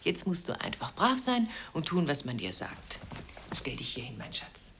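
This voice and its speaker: A woman's voice: low-pitched, big pitch swings, normal speed, fairly bright tone, a little hiss or hum. An actress playing a bossy but doting mother in a German radio play, by turns commanding and coaxing. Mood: hushed coaxing. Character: bossy, doting mother organising children for a portrait.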